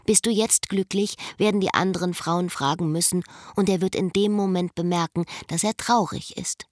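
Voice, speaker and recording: An actress playing a wistful, bittersweet former village schoolteacher in a radio play, with a measured, literary delivery. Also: female voice, on the low side, fairly even intonation, dry recording, clean studio sound, close to an everyday voice. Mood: wistful, melancholy. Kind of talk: radio play acting and inner monologue.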